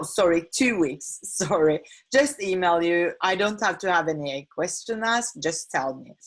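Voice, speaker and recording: Slow, halting woman hosting a webinar. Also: fairly low pitch. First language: not English. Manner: halting, with many fillers and self-corrections. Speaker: feng shui and decluttering teacher hosting a webinar. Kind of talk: live webinar sales pitch and Q&A. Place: home on a small island.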